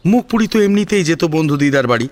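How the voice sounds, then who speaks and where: A man's voice: on the high side, lively intonation, quick pace. A man narrating a scripted audio story in a dramatic storytelling style.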